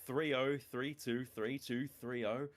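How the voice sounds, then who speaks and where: A man's voice: mid-range voice, steady tone, takes it slowly. A man casting an esports tournament who interviews a player in a chatty, self-deprecating, joking way.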